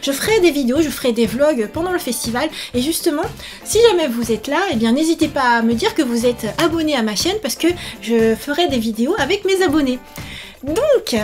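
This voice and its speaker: A woman in her thirties chatting casually to camera in an animated, exclamatory and enthusiastic manner. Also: high-pitched. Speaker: author and YouTuber promoting her book. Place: small indoor room set up for filming.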